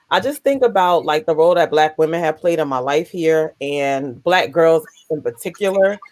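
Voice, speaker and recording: A woman with a deep voice speaking bluntly and candidly, with salty humor, on a video call discussion. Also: no echo. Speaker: panelist. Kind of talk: casual discussion.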